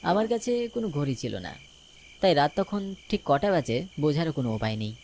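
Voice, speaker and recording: A man's voice: on the high side, very animated, brisk, darkish tone, slight background noise. A man narrating a scripted first-person ghost story as a dramatic storyteller. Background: music.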